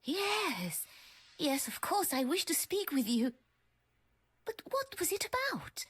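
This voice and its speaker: An actress playing an ailing, querulous upper-class widow in a video game, languid and haughty. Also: female voice, very high voice, huge pitch swings, slow, a bit bright, full character voice. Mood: frail, grateful.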